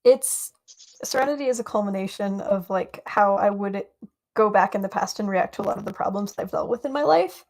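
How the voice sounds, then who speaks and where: A woman's voice: mid-range voice, a little fast, a little mellow, poor audio. Rambling, playful young woman guest. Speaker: interview guest, roleplay player. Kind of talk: casual online interview.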